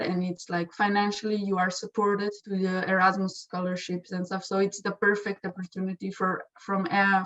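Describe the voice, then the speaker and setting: A woman's voice: medium pitch, dull audio. A young woman sharing her experience as an exchange student in a casual testimonial, speaking with many restarts and fillers. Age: young adult.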